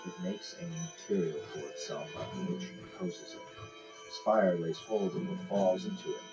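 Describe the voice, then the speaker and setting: Deep-voiced man reading over loud music. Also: rough recording. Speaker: audiobook reader. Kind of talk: scripted narration of a philosophy text. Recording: loud instrumental music under the voice. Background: instrumental music.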